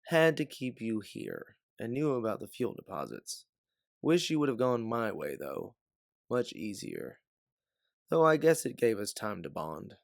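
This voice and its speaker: A man voicing a devout, loyal companion in a sci-fi story, speaking in an earnest, persuasive, measured way. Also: lowish voice, huge pitch swings, creaky, no echo, studio quality, heavily acted. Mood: fond. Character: Aleph, devout, loyal, forgiving companion and resistance believer.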